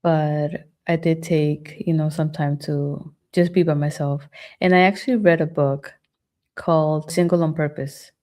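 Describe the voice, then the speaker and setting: A woman's voice: sits low, steady tone, a little slow, mellow. A woman chatting candidly as a podcast guest, reflective and open about her past relationships. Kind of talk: casual chat.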